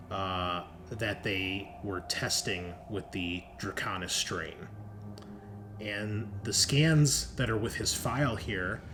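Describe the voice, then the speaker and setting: A man improvising narration as game master of a tabletop roleplaying game, speaking conversationally and often pausing mid-sentence to think. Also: on the low side, fairly bright tone.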